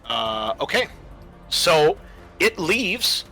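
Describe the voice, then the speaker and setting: A man acting as game master, halting and informal as he explains rules and narrates a tabletop roleplaying game. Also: on the high side, sing-song, bright, forceful tone. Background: music.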